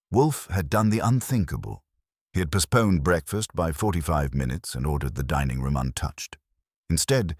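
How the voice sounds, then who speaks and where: Very deep-voiced man narrating detective fiction. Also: slightly rough, dry recording, clean studio sound. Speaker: audiobook narrator. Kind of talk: scripted first-person detective story narration.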